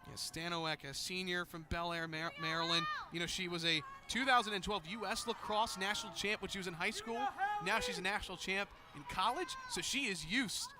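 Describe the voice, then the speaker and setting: A man's voice: high-pitched, fairly quick. An American man doing live play-by-play sports commentary, with the sound of an outdoor game behind him. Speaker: play-by-play announcer. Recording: clear outdoor stadium noise behind. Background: outdoor ambience of a game.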